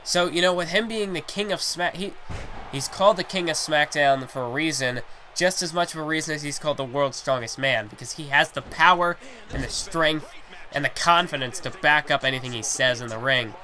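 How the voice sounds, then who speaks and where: A man with a strained, hoarse voice that is starting to give out, yet still bright and sharp in tone. He rambles through play-by-play commentary on a wrestling video game, padding his thoughts with filler phrases. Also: on the high side, brisk. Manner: rambling, heavy on fillers like "you know".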